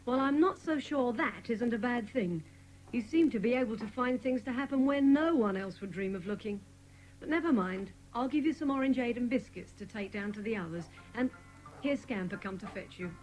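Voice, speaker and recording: Woman acting brisk high-voiced mother. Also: animated, a little mellow, faint music behind, lightly acted, low-quality recording. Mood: indulgent. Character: brisk, teasing, indulgent mother. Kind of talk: dramatised children's audiobook acting.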